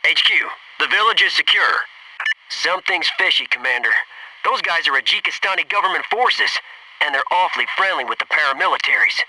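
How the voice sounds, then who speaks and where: An actor playing a gung-ho, wisecracking Navy SEAL soldier in a military video game, giving clipped tactical callouts. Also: male voice, on the high side, expressive, a little fast, sharp tone, heavily acted. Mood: wary, low. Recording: noisy radio-style game audio, slightly distant.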